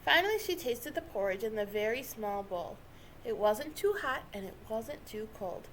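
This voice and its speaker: A woman reading a children's story aloud with expressive, dramatic narration. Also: fairly high pitch, normal speed, slight background noise, poor audio. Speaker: storybook reader.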